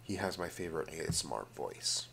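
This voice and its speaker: A man hosting a casual, rambling ASMR video, slipping between vocal fry and whispering and often repeating his phrases. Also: deep voice, sing-song, brisk, slight background noise. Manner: alternates hushed fry and whispering.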